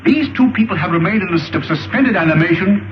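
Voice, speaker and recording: An actor playing a grave, learned scientist in an old film serial, with a formal, emphatic, professorial delivery. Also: male voice, high voice, lively intonation, fast talker. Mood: amazed. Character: grave, learned male scientist and leader of the future. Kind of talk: 1930s film serial acting. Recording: dull, hissy old film soundtrack, roomy.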